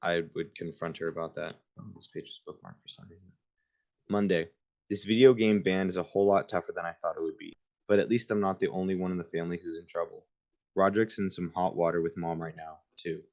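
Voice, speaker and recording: A man's voice: low voice, steady tone, quick pace, gravelly, darkish tone. A man reading a children's book aloud in an informal way, with casual asides of his own. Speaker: reader hosting a book livestream.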